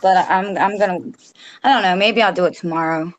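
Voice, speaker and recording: A woman's voice: on the low side, brisk, low-quality recording. Hesitant, modest woman guest. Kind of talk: casual chat.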